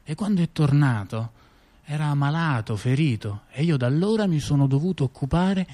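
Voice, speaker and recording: A man performing conversational satirical storytelling, halting and digressive, repeating phrases and checking in with his listener. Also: a little high, lively intonation, warm tone. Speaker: storyteller-performer in a two-person stage dialogue.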